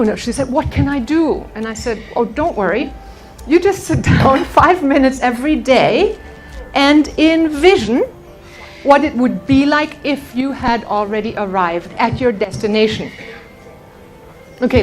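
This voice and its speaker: Higher-voiced woman leading a motivational workshop. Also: low-quality recording. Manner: animated storytelling, addressing the audience directly. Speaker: seminar teacher, motivational speaker. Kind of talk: motivational workshop talk with anecdotes and guided exercise.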